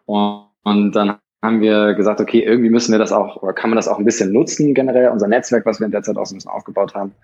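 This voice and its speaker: A man from Hamburg, a startup founder, answering interview questions in a quick, hesitant way full of fillers and restarts. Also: on the low side, steady tone, a little mellow. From Hamburg, Germany. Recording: dull lo-fi sound.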